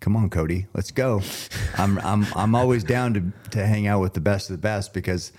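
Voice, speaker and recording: A man with a fairly low voice hosts a relaxed, conversational podcast. He comes across as earnest and agreeable, speaking with open admiration in an unscripted, casual way. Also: normal speed. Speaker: podcast host.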